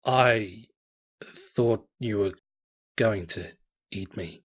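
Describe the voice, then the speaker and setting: A man's voice: on the low side, drawn-out words, husky, full character voice. A man voicing a cautious, puzzled stallion explorer who speaks plainly and hesitantly. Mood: bemused.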